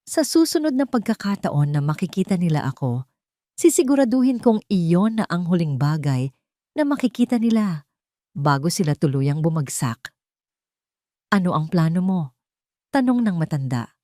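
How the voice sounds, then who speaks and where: Low-voiced woman narrating drama. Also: dark tone, dry recording, studio quality. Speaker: audio story narrator. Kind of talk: dramatic scripted first-person narration.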